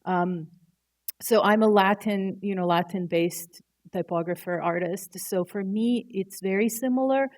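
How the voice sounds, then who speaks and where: A woman's voice: mid-range voice, a little slow. A woman whose first language is Croatian, an artist and typographer answering panel questions in a thoughtful, candid way. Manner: thoughtful, searching for words, candid. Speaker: artist and typographer, university educator.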